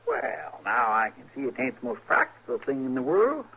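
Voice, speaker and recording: An actor playing a fussy, deferential, tender-hearted frontier sidekick with a rural American drawl in an old radio Western. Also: male voice, mid-range voice, wildly swooping pitch, moderate pace, sharp tone, breathy edge, full character voice. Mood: subdued, sympathetic. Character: folksy, querulous, tender-hearted deputy sidekick to marshal. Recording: dull vintage radio recording.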